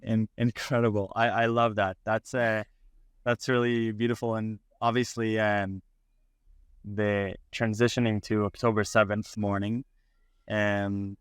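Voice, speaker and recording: A man's voice: fairly low pitch, little pitch movement, measured pace, smooth, no echo. A man hosting an interview, encouraging the guest and speaking with frequent fillers and repeated words. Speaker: podcast host.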